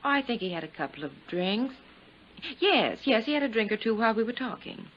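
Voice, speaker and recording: A woman's voice: fairly high pitch, expressive, fairly quick. A woman playing a composed, worldly widow in a 1950s radio drama, cool, controlled and guarded. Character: composed, worldly young-to-middle-aged widow giving testimony. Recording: dull, noisy 1950s radio recording.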